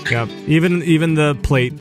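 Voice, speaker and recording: A man's voice: on the high side, moderate pace, faint music behind. A man hosting a casual gaming podcast, upbeat and rambling, with plenty of filler words. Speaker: podcast host.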